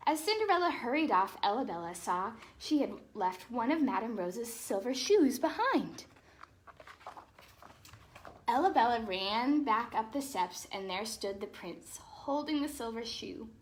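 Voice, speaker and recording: Female storytime host, higher-pitched and very animated, reading a picture book to kids with friendly explanatory asides. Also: measured pace, a little hiss or hum. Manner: expressive, lively, explaining asides to children.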